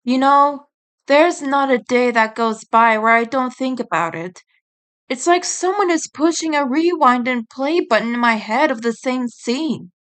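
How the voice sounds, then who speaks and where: A woman voicing a grieving male fox in an audio story, downcast and halting, quick to get annoyed. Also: high voice, animated, a bit bright. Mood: grieving, self-blaming.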